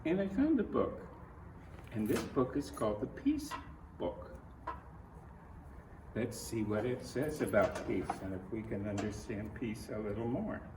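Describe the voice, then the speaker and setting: A man reading a picture book aloud to children, speaking in simple, deliberate phrases and putting questions to the listener. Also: lowish voice, very animated. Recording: roomy, distant mic, clearly noisy.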